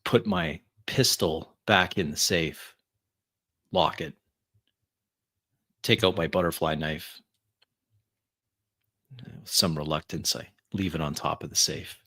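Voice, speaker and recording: A man improvising first-person narration in a tabletop roleplay, in deliberate, halting phrases. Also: extremely low pitch, bright, forceful tone, breathy edge. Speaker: roleplay player.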